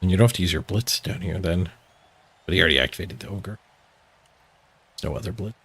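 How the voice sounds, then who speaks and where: A man's voice: sits low, fairly quick, gravelly, a bit bright, husky. A man streaming a video game, giving casual, playful live commentary as he thinks his moves through aloud.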